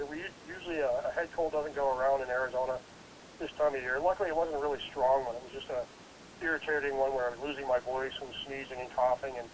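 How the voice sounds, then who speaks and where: A man's voice: mid-range voice, slight background noise, low-quality recording. A congested man recovering from a head cold, talking casually and rambling with many restarts. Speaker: guest investigator. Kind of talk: casual interview.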